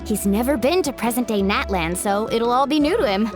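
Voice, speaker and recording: A woman's voice: on the high side, lively intonation, brisk, close to an everyday voice. A woman voicing an earnest, polite young girl who speaks hopefully in a game cutscene. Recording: game score music underneath.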